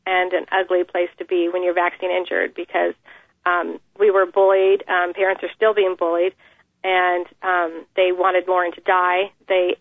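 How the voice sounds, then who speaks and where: This woman speaks earnestly and steadily, pausing often with "um" as she tells her story. Her voice comes over a thin, tinny phone line. The sound is dry, with no room echo. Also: on the low side. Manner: earnest, measured, many "um" pauses. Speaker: interview guest, mother. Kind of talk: phone interview, personal account.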